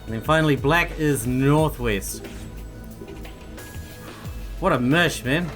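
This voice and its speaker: A man's voice: medium pitch, takes it slowly, fairly bright tone. A man giving casual, conversational let's play commentary as he plays a video game. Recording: faint game music behind.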